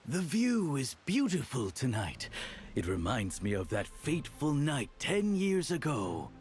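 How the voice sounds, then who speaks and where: An actor playing a smug, cruel aristocratic baron in a video game, mocking his foes in a theatrical, drawn-out way. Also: mid-range voice, wildly swooping pitch, darkish tone, soft background music. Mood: smug musing. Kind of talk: dramatic video game acting.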